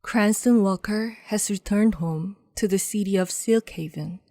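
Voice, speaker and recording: A woman's voice: mid-range voice, a little slow, dark tone, no room sound, studio quality, close to an everyday voice. A woman performing as a moody, mysterious narrator in a scripted noir audio drama.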